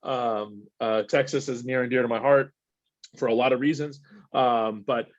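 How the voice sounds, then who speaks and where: A middle-aged man giving a fast, informal recruiting presentation, full of fillers and quick "right?" checks. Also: medium pitch, dry recording. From Egypt. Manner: rapid, energetic, peppered with "uh" and "right?". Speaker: water polo executive director giving a recruiting webinar. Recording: dry, slightly dull webinar audio.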